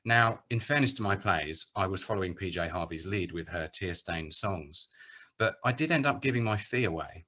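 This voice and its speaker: A man's voice: deep voice, expressive, moderate pace, pushed and bright. A middle-aged British man giving a prepared, articulate speech as a writer and theatre director at an online event.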